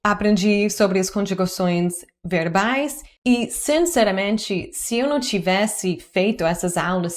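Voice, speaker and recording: A woman in her forties, a native English speaker, talking in Portuguese in a chatty, earnest vlog with upbeat jumps in pitch. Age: 40s. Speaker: YouTuber teaching languages. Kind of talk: personal vlog narration to camera.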